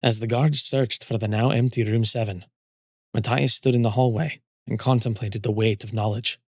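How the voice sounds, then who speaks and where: A man reading scripted dramatic fantasy narration as a storyteller, in a measured, solemn manner. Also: medium pitch, fairly even intonation, darkish tone, no room sound, studio quality.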